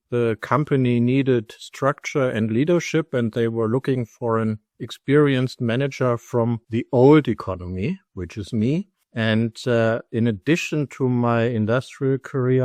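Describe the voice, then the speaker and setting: A middle-aged man with a German accent, a guest on a podcast interview, explaining his career and views in a measured, storytelling way. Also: mid-range voice, a little mellow, no room sound, studio quality. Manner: measured, storytelling, speaks in full explanatory sentences. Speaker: guest, company executive and lecturer.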